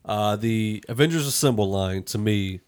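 Male podcast host, low voice, casual review talk, halting delivery as he thinks out loud. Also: clear, resonant tone. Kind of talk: casual film review discussion.

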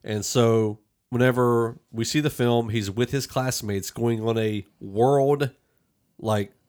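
A man's voice: medium pitch, steady tone, slow pace, a little mellow. A man hosting a podcast, talking through a film review in a rambling, conversational way. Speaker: podcast host. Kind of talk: casual movie review discussion.

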